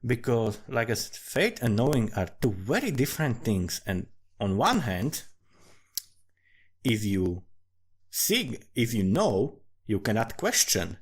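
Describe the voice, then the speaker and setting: A man's voice: mid-range voice, big pitch swings, slow, husky. A man with a Central European accent giving a casual, rambling, sweary monologue that drifts from one thought to another. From Central Europe, small country. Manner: informal, sweary, digressive. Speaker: amateur fantasy writer recording commentary.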